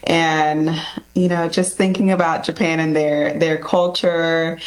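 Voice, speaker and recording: A woman answering interview questions, unhurried and friendly. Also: deep voice, level tone, rough recording. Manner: unhurried, friendly, often laughs lightly. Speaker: guest.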